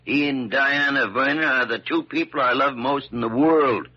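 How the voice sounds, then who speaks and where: A man's voice: mid-range voice, normal speed, slightly rough, lightly acted. A male actor playing a stubborn, protective older doctor in a classic radio drama, with a crusty, emphatic delivery. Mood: protective, grave. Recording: dull, noisy old radio recording.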